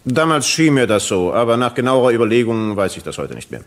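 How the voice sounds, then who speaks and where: A male actor performs a former police colonel in a courtroom radio drama. He is a man with a mid-pitched voice who testifies evasively and keeps justifying himself. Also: very animated, fast talker, close to an everyday voice.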